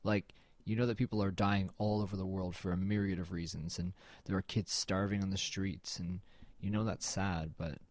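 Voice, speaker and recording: A man's voice: sits low, flat delivery, moderate pace, few pauses, darkish tone, no room sound, lightly acted. A man playing a brooding, melancholy artist who narrates an audio drama in a reflective, understated, slightly hesitant way. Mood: brooding, uneasy.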